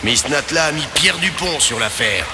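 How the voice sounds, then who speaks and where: A man's voice: a little high, animated, moderate pace, music in the background. A male actor playing a cocky, boorish hired henchman in a video game dub, with a mocking, folksy, slangy way of talking. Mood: taunting.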